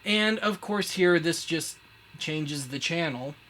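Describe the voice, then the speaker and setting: A man's voice: fairly high pitch, slow pace, bright, forceful tone. An American man casually explaining as a show host, with a wry, self-deprecating, slangy way of talking. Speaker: host of a retro media web show. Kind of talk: casual explainer, chatting through a gadget demo. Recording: clear background noise.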